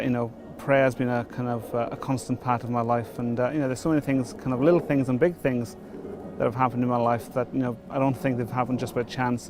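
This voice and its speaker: A man's voice: medium pitch, background noise. A middle-aged Welsh man from Wales, giving a personal testimony before his baptism, hesitant with many fillers and restarts. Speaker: church member before baptism. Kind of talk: testimony interview.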